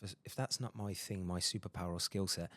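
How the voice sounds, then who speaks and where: A man hosting a podcast interview, talking quickly and emphatically with many fillers and restarts. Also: low voice, steady tone, bright, forceful tone, dry recording. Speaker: podcast host. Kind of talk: casual interview chat.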